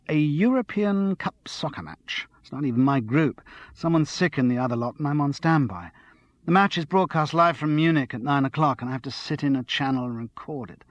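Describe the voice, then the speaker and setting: A man reading an audiobook, voicing an excitable, slightly tipsy young woman who chatters on without pause. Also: on the high side, dry recording, muffled recording, voice pushed up, natural voice. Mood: giddy. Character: giddy, tipsy young woman studio manager.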